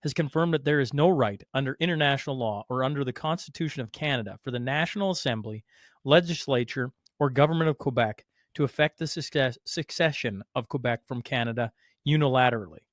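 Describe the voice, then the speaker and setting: A man's voice: mid-range voice, fast, dry recording, clean studio sound. A man hosting a podcast, reading legal text aloud carefully and joking easily between passages. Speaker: podcast host.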